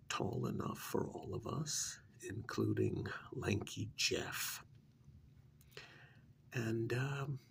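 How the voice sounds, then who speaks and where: A man playing a weary, sheepish bearer of bad news in a soft, halting, near-whispered ASMR role-play. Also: mid-range voice, vocal fry, slight background noise, full character voice. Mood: sheepish, uneasy. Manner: hesitant, halting, rambling.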